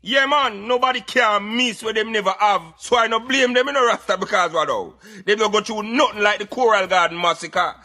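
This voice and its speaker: A man's voice: high-pitched, brisk, few pauses, pushed and bright, soft background music. A Jamaican man hosting a talk radio show, giving emphatic, preaching commentary in Patois.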